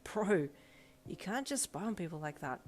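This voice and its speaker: A woman's voice: lowish voice, very animated, normal speed. A woman reading the lines of a casual, laid-back teenage boy.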